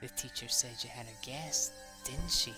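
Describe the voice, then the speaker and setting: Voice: medium pitch, normal speed, over music, natural voice. A visual novel reader voicing an eerie teenage girl whose cheery questioning tone carries menace and slips into whispers. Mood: accusing. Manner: singsong questioning, accusing, drops into whispers.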